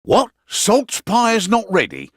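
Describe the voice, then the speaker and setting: A roguish, middle-aged innkeeper and spymaster for a video game. His delivery is unhurried and swings widely in pitch as he teases and grumbles in wry, colloquial banter. The sound is close and dry. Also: huge pitch swings, fairly bright tone, dry recording, full character voice. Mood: teasing, then exasperated. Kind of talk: video game character voice lines.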